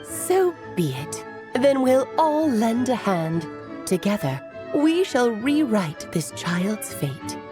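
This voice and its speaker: A woman's voice: on the high side, very animated, slow, over music. A woman playing a bold, defiant merry witch in a video game, confident and theatrical. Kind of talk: video game fantasy acting.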